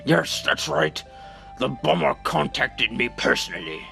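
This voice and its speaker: A man's voice: medium pitch, very animated, a little slow, creaky, bright, forceful tone, exaggerated voice. A man voicing a pompous, dramatic old space-center director in a video game fandub, with theatrical military bluster and a stammer. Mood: defensive, blustering. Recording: amateur home recording under game music.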